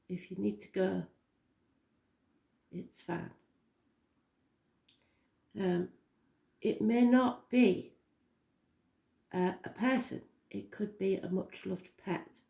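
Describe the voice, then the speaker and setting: Slow, croaky woman reading tarot. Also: medium pitch, reverberant. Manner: slow, soft and unhurried, with pauses and fillers. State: slightly croaky voice. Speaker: tarot reader. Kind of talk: daily tarot reading to viewers.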